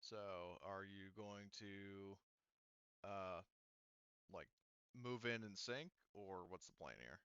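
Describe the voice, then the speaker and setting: A low, bright male voice with a halting, think-aloud delivery, casually running a tabletop roleplaying game. The sound is close and dry, slightly dull on the top end. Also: clean tone, no echo. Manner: halting, thinking aloud while working out details. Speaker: game master.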